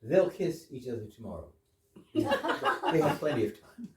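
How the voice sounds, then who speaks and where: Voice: mid-range voice, big pitch swings, moderate pace, warm tone, close to an everyday voice. An amateur performer in a stage reading, playing a reasonable, inquisitive guest in an absurdist comedy. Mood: placid. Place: echoey room at a live readers theater. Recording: echoey room, distant microphone.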